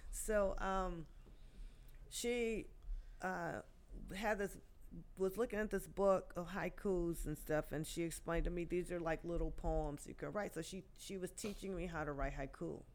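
A woman in her sixties, a guest poet, telling her life story in a podcast interview with many pauses and restarts. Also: on the low side, fairly even intonation, darkish tone. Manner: halting, many fillers and restarts.

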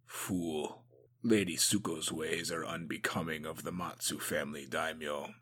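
A man voicing a stern old male samurai who growls his words gruffly. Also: lowish voice, expressive, slow, a bit bright, a little hiss or hum. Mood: muttering.

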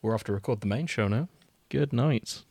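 A man's voice: medium pitch, normal speed, vocal fry, darkish tone, no echo. A man, chatting casually as the host of a podcast.

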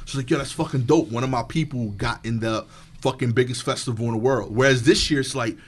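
A man who does stand-up comedy talks casually, rambling along with plenty of filler "likes." His pitch is average for a man. He sounds animated as he goes. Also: quick pace, slight background noise. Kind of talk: casual chat.

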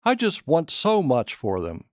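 A man acts out an earnest, fond father in an audio story. His speech is animated, with a lift above plain narration, and the sound is close and dry. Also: on the high side, a little slow, dry recording. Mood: anxious, loving.

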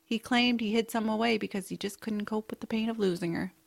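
A woman playing a kindly, whimsical aunt in a family audio drama, speaking with gentle earnestness. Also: a little high, steady tone, fairly quick, creaky. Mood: wistful, sincere. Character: kindly, whimsical aunt, bookish home cook.

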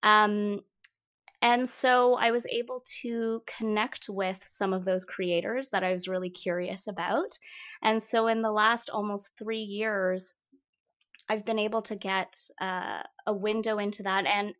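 Theatre-artist interview guest: a woman whose mother tongue is Mandarin, reflective and unhurried, restarting phrases as she thinks. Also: mid-range voice, fairly bright tone. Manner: thoughtful, with frequent fillers and repeated words. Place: Vancouver, Canada.

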